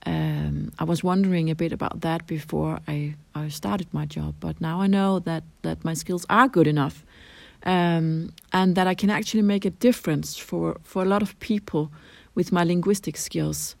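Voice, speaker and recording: A middle-aged Danish woman with a Danish accent, answering interview questions in a measured way with frequent ums and restarts. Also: on the low side, fairly even intonation, creaky, no room sound. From Denmark. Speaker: translator interviewee.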